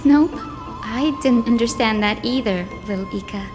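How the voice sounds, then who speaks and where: A woman performs a gentle young woman from a fantasy game, idealistic and sweet. Her voice sits high and soft, with an earnest storytelling delivery. It rings with echo, and music plays prominently behind her. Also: normal speed, natural voice. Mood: teasing. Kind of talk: dramatic video game dialogue and narration. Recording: echoey reverb with music behind.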